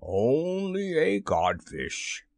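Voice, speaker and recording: A man's voice: sits low, slow, creaky, fairly bright tone, no echo, clean studio sound, pitched below the performer's own voice, heavily acted. A man voicing a mischievous boy who imitates a bombastic pirate captain, taunting, in a storybook.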